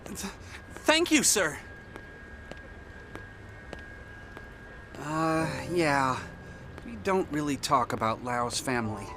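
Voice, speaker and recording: A man's voice: on the high side, sing-song, measured pace, bright, forceful tone, heavily acted. A man playing a timid, self-deprecating, lovesick young soldier in a video game scene. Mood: sheepish. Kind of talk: video game cutscene acting. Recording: music behind the voice.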